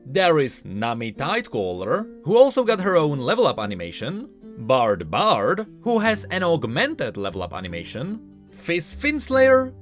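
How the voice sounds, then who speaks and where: A man's voice: medium pitch, fairly bright tone. A man hosting a gaming news show, reading scripted narration in an emphatic way with stretched, swooping stresses. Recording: music playing behind the voice. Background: background music.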